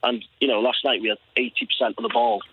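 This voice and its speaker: A man's voice: medium pitch, flat delivery, quick pace, slight background noise. A young Welsh man who grew up speaking Welsh first, calling in to a football phone-in and talking earnestly with many restarts. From Wales. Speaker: caller. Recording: tinny phone line.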